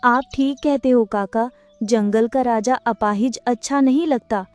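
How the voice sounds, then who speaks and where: A woman voicing a kind crippled female goat in a Hindi cartoon, speaking gently. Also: a little high, measured pace, lightly acted. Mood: helpful. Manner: gentle, forgiving. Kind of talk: cartoon voice acting.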